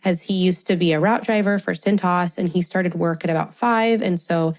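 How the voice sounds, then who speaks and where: A woman's voice: lowish voice, steady tone, moderate pace. A woman in her thirties hosting a solo podcast, talking in a chatty, friendly way with a congested, stuffy voice and frequent laughs. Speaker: podcast host.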